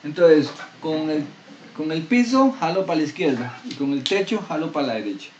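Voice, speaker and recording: Man, math lecturer, fairly high voice, explains step by step, quizzes students, roomy classroom, distant dull mic. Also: expressive, moderate pace, darkish tone. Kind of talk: university lecture. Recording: echoey room, distant dull mic, faint noise.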